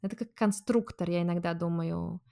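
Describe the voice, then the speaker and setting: A woman's voice: lowish voice, steady tone, moderate pace, creaky, dark tone. Curious woman hosting a podcast. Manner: curious, explanatory, reflective. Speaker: podcast host. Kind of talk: casual conversational interview.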